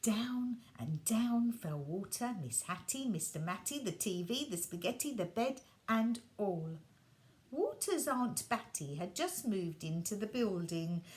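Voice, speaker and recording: A woman reading a children's bedtime story aloud, gentle and expressive, sometimes dropping her voice soft. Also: mid-range voice, unhurried.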